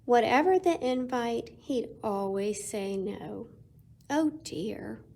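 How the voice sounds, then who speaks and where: A woman reading a picture book aloud to children as a storytime reader, with expressive delivery and dramatic pauses. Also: on the high side, vocal fry.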